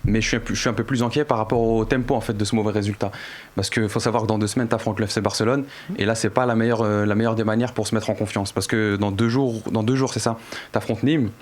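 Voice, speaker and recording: A man's voice: fairly low pitch, steady tone, quick pace, darkish tone. A man offering his opinion as a pundit in an unscripted football panel discussion.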